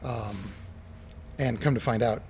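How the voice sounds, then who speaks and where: A man's voice: mid-range voice, steady tone, measured pace. Middle-aged man telling stories over background noise. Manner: enthusiastic storyteller, acts out quoted dialogue. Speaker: interview guest, retired watch collector. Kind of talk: casual anecdotal conversation.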